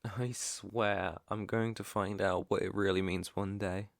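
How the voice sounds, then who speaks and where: A British man playing a protective, gentle, clueless alpha werewolf in an intimate ASMR roleplay, speaking softly and reassuringly close to the mic. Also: deep voice, steady tone, gravelly, no room sound. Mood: sleepy, affectionate. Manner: soft, tender, unhurried, reassuring. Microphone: close microphone, dry.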